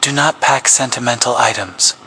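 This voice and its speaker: A man reading a scripted public safety announcement as an emergency broadcast announcer, in a grave, measured official tone. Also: mid-range voice, sharp tone, a little hiss or hum, poor audio. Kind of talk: scripted horror narration.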